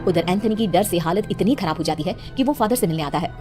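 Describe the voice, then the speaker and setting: Brisk, low-pitched woman narrator. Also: animated, music in the background. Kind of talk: scripted storytelling narration for horror cartoon.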